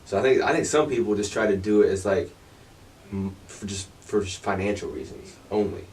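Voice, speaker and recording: A man's voice: lowish voice, a little fast, darkish tone, far from the mic. A man hosting an interview, talking in an easygoing way, swearing casually and giving short affirmations. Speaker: interview host.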